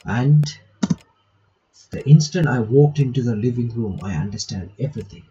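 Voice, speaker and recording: A man's voice: mid-range voice, animated, moderate pace, dark tone, dull audio, a little hiss or hum. A man reading visual novel text aloud with casual commentary, breaking off to remark and reread lines. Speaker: gaming reaction video maker.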